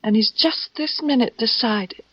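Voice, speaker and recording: An actress playing a scheming, two-faced wife in an old-time radio melodrama, in short dramatic phrases. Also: female voice, fairly high pitch, measured pace, slightly rough. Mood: frightened, pleading. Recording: dull, noisy vintage radio recording.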